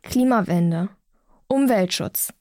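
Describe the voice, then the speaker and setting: A woman's voice: mid-range voice, animated, takes it slowly, darkish tone, no room sound, clean studio sound. A young woman playing an earnest, defiant climate activist who argues in a colloquial way in naturalistic audio drama. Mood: earnest conviction.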